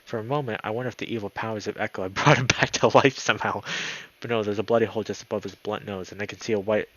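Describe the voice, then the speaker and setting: A man streaming a let's play, reading a visual novel aloud in a dramatic, excitable way, sometimes stumbling over words. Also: fairly low pitch, rapid-fire, lo-fi sound, a little hiss or hum. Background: background music.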